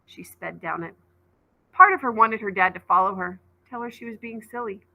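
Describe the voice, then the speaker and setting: A woman reading a children's novel aloud as a teacher, in expressive storybook narration. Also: mid-range voice, moderate pace, creaky, phone-quality sound.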